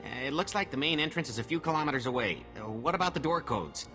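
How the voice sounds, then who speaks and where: A man's voice: fairly high pitch, animated, fast, pushed and bright, big-room sound, faint music behind. An actor playing a hesitant, nervous male tech assistant in a game, unsure of himself. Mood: hesitant, flustered.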